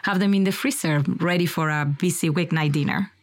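A woman's voice: lowish voice, fairly quick, no room sound. A woman from Argentina, a podcast guest talking casually, with many fillers and repeated words. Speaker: food company co-founder, guest. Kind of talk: casual interview.

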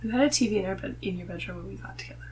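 Female podcast guest, nasal tone, medium pitch, informal chatty delivery, slightly noisy recording. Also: very fast, darkish tone. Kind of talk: casual conversational chat. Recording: noticeable background noise.

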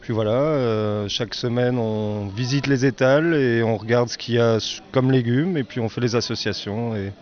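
A man's voice: medium pitch, normal speed, hardly any pauses, darkish tone, dull audio. A man running a tart stall, explaining his local produce in a matter-of-fact way. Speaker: food stall owner. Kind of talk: casual interview answers. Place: outdoor festival.

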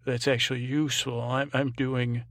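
Gravelly man rambling drowsily at bedtime. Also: mid-range voice, flat delivery, a bit bright, dry recording. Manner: meandering, halting, repeating and trailing off mid-word. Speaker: podcast host. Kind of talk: rambling bedtime monologue to help listeners sleep.

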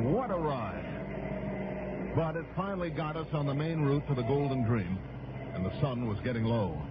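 A man's voice: fairly high pitch, lightly acted. An actor playing a wry, inquisitive American private investigator in a 1960s radio drama, talking and narrating his case. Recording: muffled, noisy vintage radio broadcast with music.

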